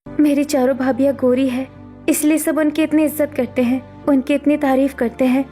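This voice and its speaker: A woman's voice: high-pitched, flat delivery, moderate pace, dark tone, faint music behind, natural voice. A woman voicing a hurt, self-doubting young sister-in-law in a dramatic cartoon story, with a plaintive, defensive manner. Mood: sad, self-pitying.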